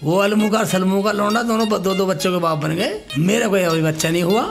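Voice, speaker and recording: A man's voice: high-pitched, brisk, creaky, music in the background. A man playing a dim-witted, melodramatic married son in a broad comedy skit, naive and exaggerated. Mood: naive, complaining. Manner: exaggerated loud wailing and naive questioning.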